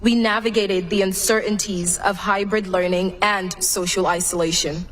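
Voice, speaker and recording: A woman's voice: mid-range voice, steady tone, slight background noise. Young woman giving an earnest graduation speech. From Cameroon. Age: young adult. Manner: earnest, measured, read from a prepared text. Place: large graduation venue.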